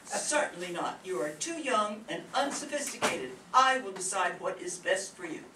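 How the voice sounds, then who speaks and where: A woman's voice: mid-range voice, lively intonation, moderate pace, lightly acted. A woman playing a haughty, commanding elderly matriarch in a melodramatic radio soap opera parody. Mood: stern. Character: imperious elderly matriarch of a wealthy family. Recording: echoey stage, far mic, clear noise.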